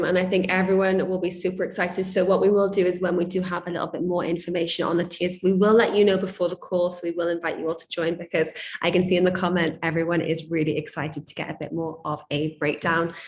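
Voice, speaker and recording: A woman moderating an online community call, upbeat and enthusiastic, her voice slightly croaky. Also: fairly low pitch, fairly even intonation, brisk, darkish tone, reverberant.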